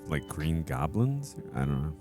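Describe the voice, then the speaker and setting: A man's voice: very deep voice, sing-song, a little slow, over music. A man co-hosting a reaction show with casual, chatty commentary.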